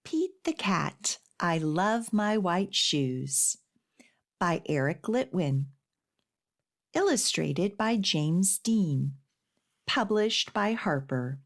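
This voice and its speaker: A woman reads to young children as a storytime librarian. Her delivery is extremely unhurried and deliberate, with lively swings in pitch. She sounds singsong and instructional, guiding little listeners along. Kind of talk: slow read-aloud storytime for young children, with sung refrains and action rhymes.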